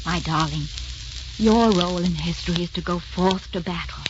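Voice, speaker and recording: A woman's voice: on the low side, normal speed, noisy background, close to an everyday voice. An actress playing a devoted, self-sacrificing lady lover in an old-time radio romance, speaking in a solemn, declamatory way. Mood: noble, impassioned. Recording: muffled old radio broadcast, music behind.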